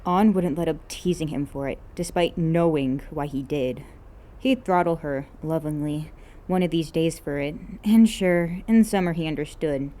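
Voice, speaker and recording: A woman's voice: sits low, normal speed, warm tone. A woman reading scripted fiction aloud as an amateur narrator. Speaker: amateur podfic reader.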